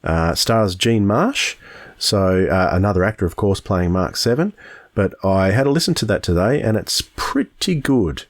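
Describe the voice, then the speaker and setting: A man's voice: on the low side, expressive, normal speed. A man co-hosting a podcast in casual, chatty talk. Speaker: podcast co-host.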